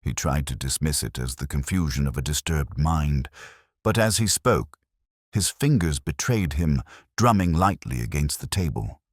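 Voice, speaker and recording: A man reading scripted first-person detective narration in a measured, solemn and literary manner. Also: a bass voice, expressive, no room sound, clean studio sound.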